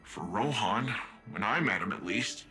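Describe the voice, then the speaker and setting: An actor playing a young cyborg city champion, earnest and easygoing, with informal phrasing in a video game. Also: male voice, fairly low pitch, expressive, measured pace, music in the background, poor audio. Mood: doubtful, wistful. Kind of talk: video game character acting.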